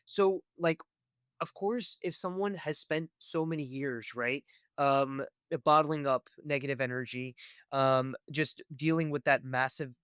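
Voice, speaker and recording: A man hosting an interview podcast, asking wordy, halting questions and often checking for agreement as he goes. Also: fairly high pitch, fairly bright tone, smooth, no room sound, studio quality. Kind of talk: conversational interviewing.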